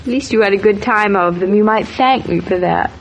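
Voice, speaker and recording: A woman acting a fond, gently needling wife and new mother in an old-time radio soap opera. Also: mid-range voice, fairly quick, a little hiss or hum, close to an everyday voice, poor audio.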